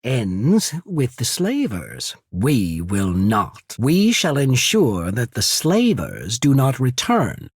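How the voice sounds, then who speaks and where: An actor playing a shrewd, courteous naval emissary in a fantasy video game, speaking in a measured, formal, persuasive way. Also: male voice, medium pitch, wildly swooping pitch, slightly rough, dry recording, clean studio sound, heavily acted. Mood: measured, grave. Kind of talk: scripted fantasy game dialogue.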